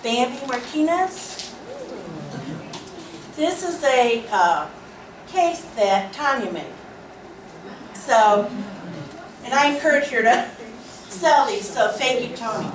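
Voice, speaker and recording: Slow, joking woman at a club meeting. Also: on the high side, lively intonation. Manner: joking, chatty, self-mocking, loud. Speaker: hospitality chair giving out door prizes. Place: meeting room. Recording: echoey room, distant mic, noisy.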